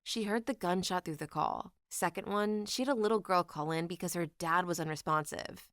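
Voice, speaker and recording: Woman, scripted story narration, slightly low pitch, very dry recording. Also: brisk, fairly bright tone, no echo. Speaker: narrator of online story compilations. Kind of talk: scripted narration, reading out anecdotes.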